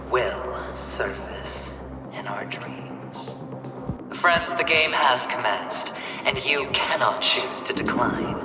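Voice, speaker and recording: A cocky gambler villain from a game, slow dramatic taunts, echoey hall, music under it. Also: male voice, mid-range voice, recorded from a distance. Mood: ominous, philosophical. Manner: theatrical, taunting, drawn-out delivery with dramatic swings. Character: flamboyant, cunning young male gambler and villainous showman. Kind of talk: video game cutscene acting.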